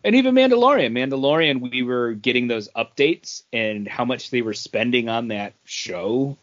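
A man with a bright, forceful voice at middling pitch makes his case as a podcast co-host. The sound is very dry and slightly lo-fi. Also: expressive, normal speed, no room sound. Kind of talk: casual chat, animated explaining. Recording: dull, dry lo-fi audio.

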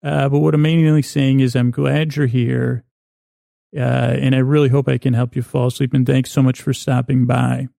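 A man with a creaky voice hosting a sleep podcast, delivering a hesitant, meandering, self-correcting bedtime monologue in a lulling, soothing way. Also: medium pitch, level tone, moderate pace, warm tone, no room sound, clean studio sound.

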